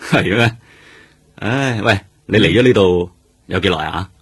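A man's voice: lowish voice, a little slow, husky, heavily acted. An actor playing a seasoned older detective in a radio drama, a wry, fatherly colleague who talks colloquially and with world-weary sighs. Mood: world-weary, teasing. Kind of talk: Cantonese radio drama acting.

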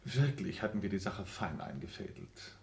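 Snobbish count for 1960s radio drama: low voice, very lively intonation, sardonic, haughty drawl. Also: male voice, normal speed. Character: languid, snobbish aristocratic count, flippant and condescending. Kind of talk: 1960s German radio play acting.

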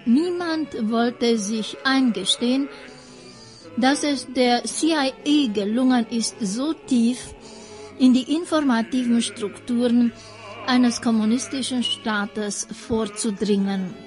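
A formal female narrator reads documentary history in a radio play. Her voice is somewhat high, and her delivery is slow and deliberate. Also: darkish tone, soft background music, rough recording. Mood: measured, formal. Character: measured female narrator reading a historical memorandum.

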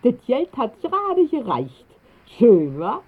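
An actress playing a spirited, bossy working-class woman with a Berlin accent, brisk and scolding, in a radio drama. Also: a little high, huge pitch swings, husky, heavily acted. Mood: bossy, urging. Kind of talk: 1960s German radio drama acting. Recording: muffled band-limited old radio recording.

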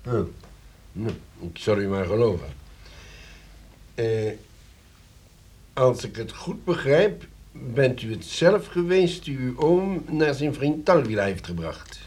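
A stern male police commissioner in a Dutch radio drama. He questions in a mid-pitched voice with dry, sardonic authority and measured irony. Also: sing-song, a little mellow. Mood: probing, grave. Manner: probing, dryly ironic. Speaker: actor in a radio play.

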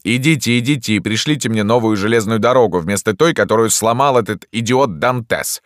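A man voicing a bossy, grumbling old doctor with a creaky, grating voice in an audiobook. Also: deep voice, very animated, measured pace, fairly bright tone, dry recording, clean studio sound, voice pushed up, full character voice. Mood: irritable. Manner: grumbling, authoritative. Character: cranky, bossy old male doctor, gnomish and grey-bearded.

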